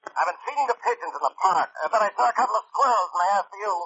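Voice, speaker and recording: Exasperated american cop boss, high pitched, sarcastic, muffled old radio sound. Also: male voice, expressive, racing, creaky, bright, forceful tone, exaggerated voice. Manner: blustery, sarcastic. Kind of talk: 1940s radio comic-detective acting. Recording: muffled old radio recording, faint noise.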